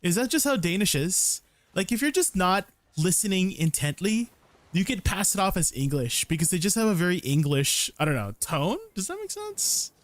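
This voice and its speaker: A man's voice: high-pitched, very animated, moderate pace, no room sound. A young man casually commenting on his gameplay during a livestream, explaining combos and answering viewers, often ending sentences with "right?". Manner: chatty, answers viewers' questions. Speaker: game streamer.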